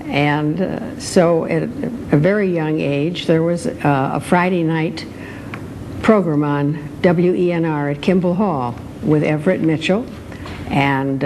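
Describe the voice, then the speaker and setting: An older American woman, a former radio actress, reminiscing in a measured, hesitant way. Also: sits low, a little mellow, a little hiss or hum, poor audio. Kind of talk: reminiscing in an interview.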